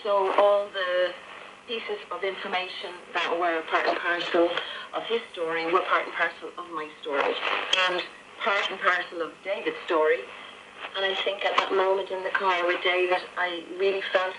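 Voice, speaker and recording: A woman's voice: fairly low pitch, steady tone, moderate pace. A middle-aged woman recounting her personal story as a radio interview guest, heard over shortwave. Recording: noisy, crackly shortwave radio reception.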